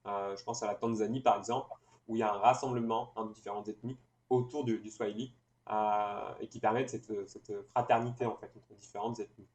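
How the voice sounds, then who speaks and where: A man hosting an interview, putting long, reflective questions in a measured, wordy way. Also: on the low side, steady tone, darkish tone. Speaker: interviewer, host. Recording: echoey room.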